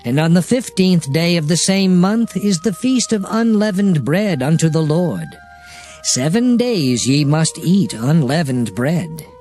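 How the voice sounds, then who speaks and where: A man's voice: fairly high pitch, lively intonation, unhurried, a little mellow. A man playing a solemn divine lawgiver, reciting sacred law formally in a dramatized scripture reading. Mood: solemn, authoritative. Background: music.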